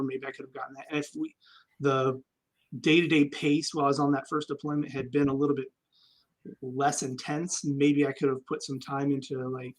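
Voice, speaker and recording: A man's voice: mid-range voice, rough recording. A man, an army veteran guest, telling stories candidly and reflectively in a casual interview, with frequent ums.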